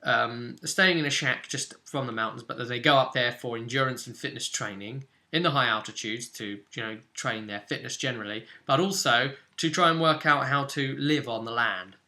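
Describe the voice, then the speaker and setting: A man's voice: medium pitch, normal speed, few pauses, pushed and bright. A man hosting as game master, casually explaining a tabletop role-play session in loosely phrased speech with asides.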